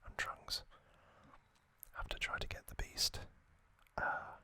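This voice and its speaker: An ASMR host speaking in a breathy, unvoiced whisper, recapping trivia in a hushed, halting way with pauses.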